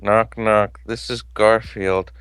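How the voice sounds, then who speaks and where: A man's voice: fairly low pitch, level tone, slow, a bit bright, smooth, dry recording. A man voicing an earnest, heroic male cartoon cat in an amateur fan fiction reading, with stiff, solemn delivery.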